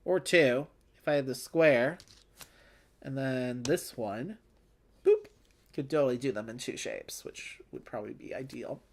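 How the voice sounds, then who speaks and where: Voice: mid-range voice, moderate pace. A chatty, informal streamer giving casual play-along commentary on a board game, thinking aloud with playful little interjections.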